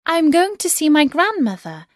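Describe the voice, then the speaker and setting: A woman's voice: high voice, sing-song, normal speed, dry recording, studio quality, voice pushed up. A woman doing a sweet, wondering little girl.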